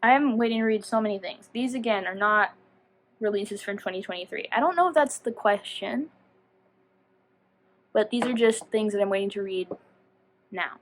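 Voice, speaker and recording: A woman's voice: mid-range voice, normal speed, long pauses, slight background noise. A woman chatting casually to viewers as a book vlogger, rambling and self-deprecating as she goes off on tangents. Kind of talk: casual chatty video talk.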